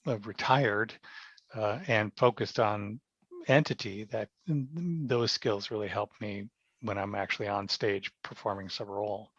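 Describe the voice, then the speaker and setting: A man's voice: fairly low pitch, measured pace, fairly bright tone, no room sound. An older American man chatting casually and telling anecdotes as a guest in an interview. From small town in New York state, United States. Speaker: podcast guest, actor. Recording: dull, dry, lo-fi sound.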